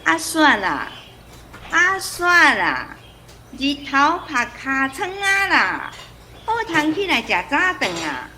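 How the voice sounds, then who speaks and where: A woman's voice: high voice, big pitch swings, takes it slowly, bright, forceful tone, slight background noise, heavily acted. A woman acting a kindly, patient old grandmother in a radio drama, speaking Taiwanese Hokkien-accented speech. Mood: fond, coaxing.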